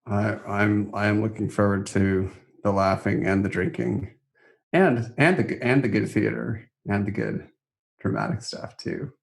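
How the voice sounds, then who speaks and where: A man co-hosting a podcast, speaking conversationally in a thoughtful, measured manner. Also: fairly low pitch, creaky. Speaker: podcast co-host. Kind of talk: conversational podcast talk about a theater project.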